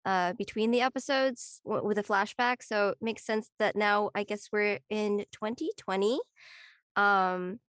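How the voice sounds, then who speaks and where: A woman's voice: fairly high pitch, fairly bright tone, no echo, clean studio sound. A woman from Northern California, an actor introducing herself casually, thinking aloud in a hesitant, informal way. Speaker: actor in a play reading. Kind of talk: casual unscripted introduction and chat.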